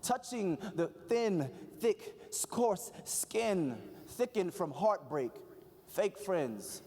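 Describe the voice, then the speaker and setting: A man in his early twenties performs spoken word poetry with a measured, rhythmic delivery and promotes his group to a conference crowd. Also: sits high, animated, darkish tone, slightly rough. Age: 20s. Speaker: youth spoken word poet. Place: large event hall, live audience. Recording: echoey hall reverb.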